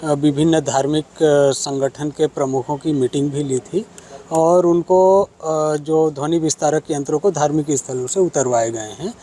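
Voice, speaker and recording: A man speaking as a government official, giving a halting, measured and formal statement to reporters. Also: on the high side, mellow.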